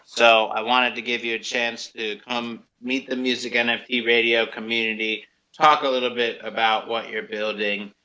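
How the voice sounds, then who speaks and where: A man speaks as the host of an interview show. His voice is strikingly bright and forceful, sitting at a medium pitch. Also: level tone, normal speed, hardly any pauses, poor audio. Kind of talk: interview hosting.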